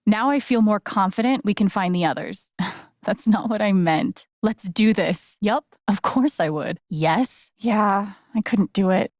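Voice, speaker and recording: A woman voicing an earnest, gentle young game heroine with a wry playful side, in a casual, conversational way. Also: mid-range voice, measured pace, dry recording, clean studio sound, close to an everyday voice. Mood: casual, upbeat. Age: young adult. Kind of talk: naturalistic video game voice acting.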